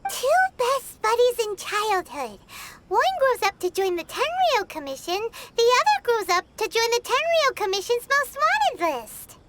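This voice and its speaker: An actress playing a small, curious, chirpy child in a video game. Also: female voice, very high voice, sing-song, unhurried, heavy background noise, exaggerated voice. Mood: matter-of-fact. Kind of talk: English video game voice acting. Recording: music under the voice.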